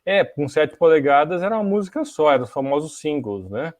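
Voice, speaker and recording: A man's voice: fairly high pitch, sing-song, brisk, a little mellow, no room sound, studio quality. A Brazilian man hosting a podcast, explaining things in a casual, didactic way and often checking in with small tag questions. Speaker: podcast host. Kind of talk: casual explanatory talk on music technology history.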